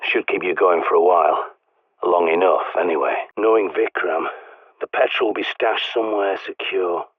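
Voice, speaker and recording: A man's voice: low voice, steady tone, normal speed, dry recording. A man voicing a blunt, sardonic male survivalist in a video game, with a British accent, guiding someone over the radio. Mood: grumbling. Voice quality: gruff, sardonic, swears often. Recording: dry tinny radio-like line.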